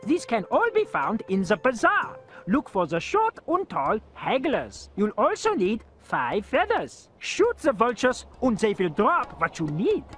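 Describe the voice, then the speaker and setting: Nervous cartoon coward, high voice, very animated pitch, excitable, clear music behind. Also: a little slow, few pauses. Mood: exasperated. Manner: nervous, hesitant, exclaiming. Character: cowardly, excitable would-be flyer inventor, an adult. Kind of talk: animated cartoon game fan dub.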